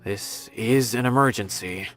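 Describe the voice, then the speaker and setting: A man's voice: mid-range voice, unhurried, a bit bright, soft background music, natural voice. Man playing an earnest young investigating officer. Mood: troubled, resolute. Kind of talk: video game voice acting.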